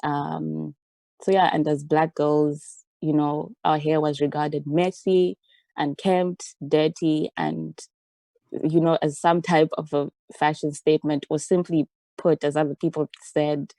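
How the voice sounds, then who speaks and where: A young woman softly and thoughtfully sharing her personal experience in a discussion over a video call. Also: sits low, level tone, unhurried, vocal fry, no room sound. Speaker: discussion participant.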